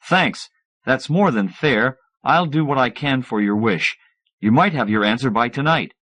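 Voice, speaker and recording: A man voicing an excitable, pushy male mad-scientist inventor with an emphatic, agitated delivery in an audiobook. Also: mid-range voice, a little slow. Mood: eager. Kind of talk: acted audiobook dialogue.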